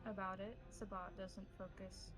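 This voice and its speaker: A woman speaking as game master in measured, formal phrases, improvising roleplay and narration. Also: medium pitch, little pitch movement.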